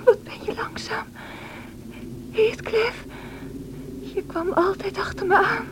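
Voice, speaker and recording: Feverish, high-voiced young woman. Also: fairly even intonation. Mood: delirious, yearning. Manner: breathy, slow, anguished delivery. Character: feverish young married woman, wild, distraught, defiant. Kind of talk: radio drama acting. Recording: noisy old radio play recording.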